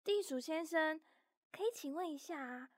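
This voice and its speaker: Tiny, very high-pitched childlike mouse. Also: female voice, expressive, normal speed, no room sound, clean studio sound. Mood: curious, lost. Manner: innocent, emotional, questioning. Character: small naive young mouse, quick-tempered and homesick. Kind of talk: children's audio story acting.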